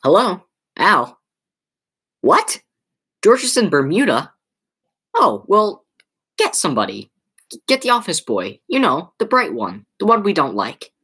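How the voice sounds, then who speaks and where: A flustered, exasperated man played by a teenage girl in a radio play. The voice swings widely around a middling pitch, with halting stammers. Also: wildly swooping pitch, a bit bright, breathy edge, full character voice. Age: teens. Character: flustered, exasperated male theater critic and nephew. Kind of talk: school radio play acting.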